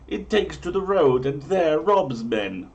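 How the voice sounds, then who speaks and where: A man's voice: a little high, very animated, takes it slowly. A man hosting a livestream, speaking in an emphatic, theatrical way as a reader and presenter. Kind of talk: dramatic comic reading in mock-medieval English, then casual chat.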